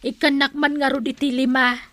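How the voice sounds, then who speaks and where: A woman's voice: sits high, flat delivery, fairly quick, fairly bright tone, dry recording. A woman playing an inquisitive, sympathetic village friend in a radio drama, familiar and prying, with a northern Philippine accent. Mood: concerned.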